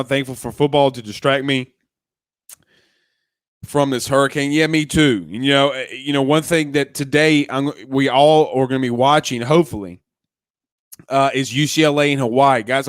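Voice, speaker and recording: Smooth-voiced man chatting. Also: medium pitch, dry recording, clean studio sound. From Louisiana. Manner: rambling, restarts sentences, calls listeners man and bro. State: congested. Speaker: sports podcast host. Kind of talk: casual live-stream chat reading comments.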